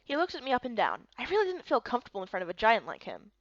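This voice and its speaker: A woman's voice: a little high, huge pitch swings, very fast, dry recording, muffled recording. A woman reading scripted first-person story narration for a visual novel game.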